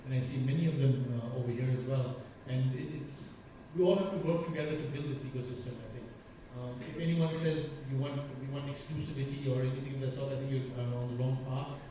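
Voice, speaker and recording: A man moderating a conference panel, pausing often with fillers and restarts. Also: mid-range voice, fairly even intonation, background noise. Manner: halting, many fillers and restarts. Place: large conference hall. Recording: echoey conference hall from far off.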